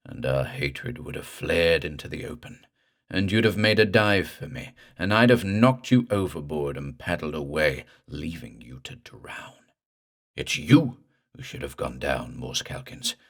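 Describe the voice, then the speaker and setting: A dead man risen from the water speaks in a slow, gurgling, guttural drawl, as a storyteller puts him on. His voice sits very deep and menacing. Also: a bit bright, full character voice.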